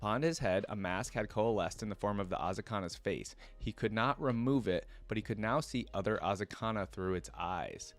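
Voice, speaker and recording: A man giving casual reaction commentary, reading aloud and thinking out loud in an informal, musing way. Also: on the low side, brisk, few pauses. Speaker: reaction video creator.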